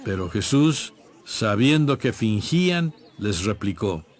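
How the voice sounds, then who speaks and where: A man's voice: lowish voice, very animated, takes it slowly. A man reading scripted narration for a dramatized audio Bible. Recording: music playing behind.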